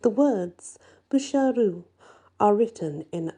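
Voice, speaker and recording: A woman reads a first-person fantasy story in an ASMR style, her voice soft, husky and breathy. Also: medium pitch, animated, takes it slowly. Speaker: audiobook-style story reader.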